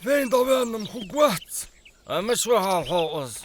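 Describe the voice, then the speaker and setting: A man voicing a squeaky male character in a dubbed cartoon. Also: very animated, normal speed, a little hiss or hum. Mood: drawn-out. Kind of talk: animated cartoon dubbing.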